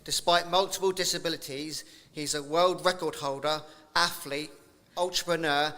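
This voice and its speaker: A man's voice: a little high, bright, forceful tone. A man presenting at a live awards ceremony, heaping effusive praise on the winners. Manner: effusive praise, occasional restarts. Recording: reverberant hall, faint ambience.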